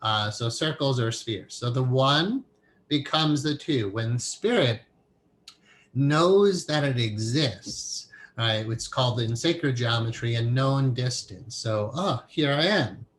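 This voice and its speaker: Male meditation teacher, mid pitch, easygoing lecture delivery over faint room noise. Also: slow. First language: English. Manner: relaxed and explanatory, thinking aloud with fillers. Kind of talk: online class lecture.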